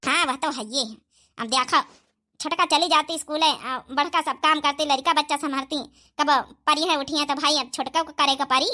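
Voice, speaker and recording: A performer as a high-voiced old Awadhi mother-in-law, a woman. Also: steady tone, moderate pace, sharp tone. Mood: approving. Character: elderly village mother-in-law, grumbling but fond. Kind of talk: rural family comedy cartoon dialogue.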